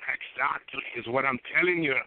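A man's voice: medium pitch, a little fast, creaky, dry recording, lightly acted. A man calling in with a put-on Irish accent, playing an insistent, confused old Irishman who wants his gold. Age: older. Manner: insistent, confused, calls others "lassie". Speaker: caller. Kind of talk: prank call. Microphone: telephone. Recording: tinny phone line, dry.

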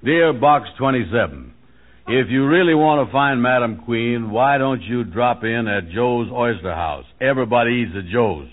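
An actor playing a gullible, scheming sidekick in an old American radio comedy, colloquial and plodding. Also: male voice, mid-range voice, lively intonation, normal speed, close to an everyday voice. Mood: earnest, reading aloud. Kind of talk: 1940s-50s American radio comedy acting. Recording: tinny, band-limited old radio recording.

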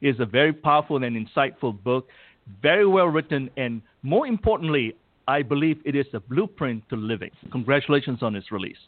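A man hosting a radio talk show, introducing a guest and interviewing him in a formal, measured way. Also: medium pitch, expressive, no room sound. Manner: formal, warmly measured delivery. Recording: tinny phone line.

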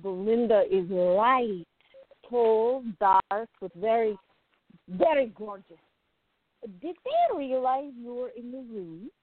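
A woman's voice: on the high side, expressive, slow pace, dry recording. A woman playing an outgoing, teasing gallery worker in a radio play, talking in a playful, emphatic way. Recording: tinny, phone-like narrow sound.

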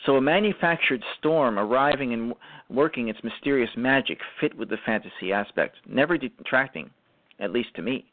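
A man hosting a radio show, speaking in a halting way that often restarts and repeats phrases as he gives commentary. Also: medium pitch, lively intonation, no room sound. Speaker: radio show host and writer-performer. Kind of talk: show commentary, semi-prepared talk. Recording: muffled lo-fi audio.

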